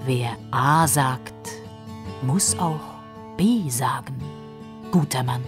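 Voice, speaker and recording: A woman voicing a small, mysterious elderly male professor, solemn and drawn-out, sometimes whispery, in a children's story. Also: a bass voice, music in the background, heavily acted.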